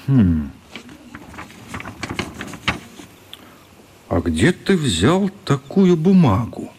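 An actor playing a kindly, curious grown man in a radio play, talking informally and gently probing. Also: medium pitch, huge pitch swings, slow, slightly rough, exaggerated voice.

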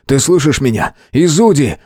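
Hot-headed sword fighter for an audiobook, male reader acting: raised, highly animated voice, defiant, emphatic, desperate edge. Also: normal speed, husky, no room sound, studio quality. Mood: frantic. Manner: shouting, taunting, emphatic.

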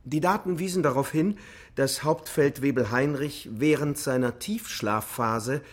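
A male actor as a military psychologist on the witness stand in a radio drama. His mid-pitched voice stays sober and factual, explaining everything with clinical precision. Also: unhurried, close to an everyday voice. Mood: clinical, precise.

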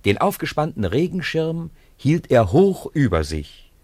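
A man's voice: fairly high pitch, very animated, measured pace, darkish tone, dry recording. A man narrating a scripted story for a radio play.

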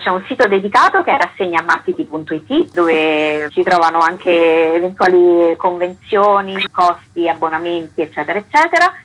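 Female artistic director as radio guest, conversational, with a rough-edged, lower voice. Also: expressive, normal speed, few pauses, a bit bright, poor audio.

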